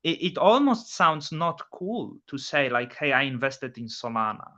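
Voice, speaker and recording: A man with a Polish accent hosting a casual chat, speaking haltingly with frequent restarts. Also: medium pitch, sing-song, sharp tone. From Poland. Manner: halting, many restarts, non-native phrasing. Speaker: host. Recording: dull lo-fi audio.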